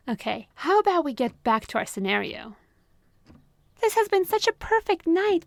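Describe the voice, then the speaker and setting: A woman voicing a manipulative, possessive female therapist who talks in a honeyed, calmly controlling way, in an ASMR roleplay recorded close to the mic. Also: high-pitched, no room sound. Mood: flirty, sweet. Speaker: voice actress.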